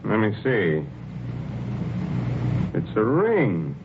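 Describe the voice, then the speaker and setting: Slow-talking, baffled police lieutenant. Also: male voice, on the low side, huge pitch swings, heavily acted. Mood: curious, puzzled. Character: plain-spoken, baffled male police lieutenant. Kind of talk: 1940s radio drama acting. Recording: tinny old radio recording, faint noise.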